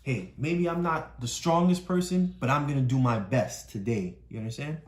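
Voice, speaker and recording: A woman's voice: extremely low pitch, moderate pace, a little mellow, echoey room. A woman coaching a child through a story read-aloud, explaining each part patiently and often checking that the child understands. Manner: encouraging, keeps checking understanding.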